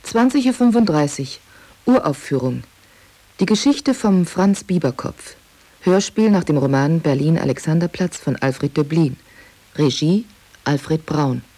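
Mellow woman reading a programme announcement. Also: deep voice, animated, measured pace. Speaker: announcement reader in a radio documentary.

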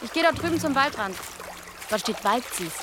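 A woman's voice: fairly high pitch, lively intonation, normal speed, background noise, close to an everyday voice. An actress playing a cheeky, blunt village girl in casual, naturalistic audio drama dialogue. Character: cheeky, blunt, teasing village girl who hunts and forages.

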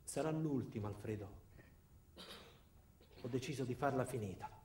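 Man playing a quiet, low, despairing caller. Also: echoey room, a little hiss or hum, close to an everyday voice. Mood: resigned despair. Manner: low, quiet, slow, resigned. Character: weary, despairing male doctor on a phone call.

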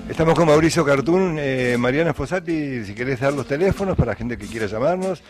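A man's voice: medium pitch, animated, a little fast, over music. A man with an Argentine accent hosting a casual radio interview. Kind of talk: casual studio interview chat.

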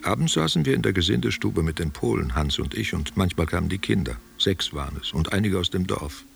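A man's voice: sits low, lively intonation, fairly quick, gravelly, heavily acted. An actor playing an old man, a reminiscing stage player who talks tenderly and theatrically in a literary radio drama. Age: older. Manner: musing, theatrical, answering unheard questions. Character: reminiscing old German actor, tender and musing over wine.

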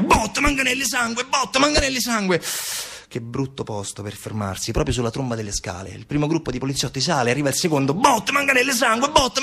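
A man's voice: mid-range voice, vocal fry, pushed and bright, breathy edge. A man performing a theatrical narrative monologue, with emphatic, repetitive, incantatory phrasing. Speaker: stage actor and narrator. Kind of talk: dramatic theatrical monologue storytelling.

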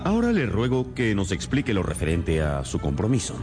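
A man's voice: lowish voice, wildly swooping pitch, brisk, music in the background. An actor playing a shrewd, composed male detective in a radio drama, questioning formally with thoughtful murmurs. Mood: probing. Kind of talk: Spanish-language radio drama acting.

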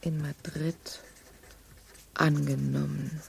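An actress playing a discontented woman who reads out her letter in a measured, deliberate way in a radio drama. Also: low-pitched, little pitch movement, creaky, a little hiss or hum, heavily acted. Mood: weary, resolute.

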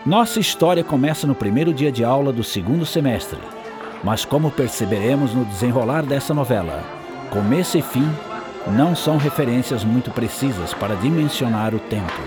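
A man's voice: medium pitch, expressive, moderate pace, over music. A man with a Brazilian accent narrating a radio drama in a scripted storybook style.

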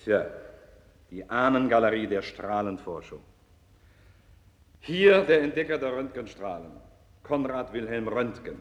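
Blunt, disillusioned professor, mid-pitched. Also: male voice, sing-song, measured pace, pauses often, muffled recording. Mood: didactic, solemn. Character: disillusioned, blunt senior radiology professor and mentor. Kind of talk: 1960s German radio play acting.

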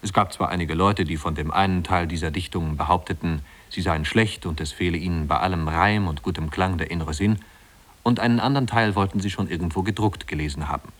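A man narrating a literary tale in a 1950s radio drama, in a measured, wry storytelling manner. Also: sits low, a bit bright.

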